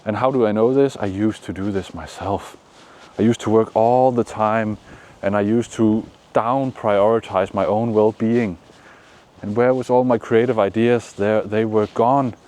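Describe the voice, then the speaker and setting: A man from Denmark with a Danish accent, giving calm, encouraging motivational talk outdoors in the shade, with frequent pauses. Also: fairly low pitch. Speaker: manifestation coach. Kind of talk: casual motivational vlog talk.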